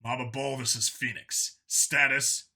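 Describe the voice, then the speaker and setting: A man voicing a gruff, determined male cop who talks tersely and grumbles, in an audio story. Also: medium pitch, flat delivery, measured pace, bright, forceful tone, heavily acted. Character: gruff, foul-mouthed male vigilante cop, grimly determined.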